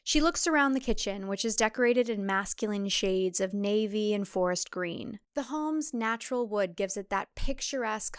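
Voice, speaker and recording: A woman's voice: mid-range voice, clean tone, no echo, studio quality. A woman reading scripted comic thriller narration as an audiobook narrator.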